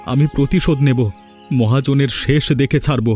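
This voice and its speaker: Man as mellow, earnest young villager. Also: medium pitch, steady tone, moderate pace, faint music behind, lightly acted. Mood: earnest, resolute. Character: wronged young village man, grateful and vengeful. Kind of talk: cartoon voice acting in melodrama.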